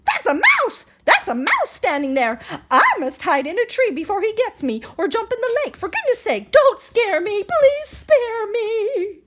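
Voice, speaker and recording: A woman's voice: extremely high pitch, sing-song, moderate pace, fairly bright tone, pitched above the performer's own voice, full character voice. A woman voicing a big, fretful male elephant in a storybook read-aloud, stammering and breaking into giggles. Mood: panicked.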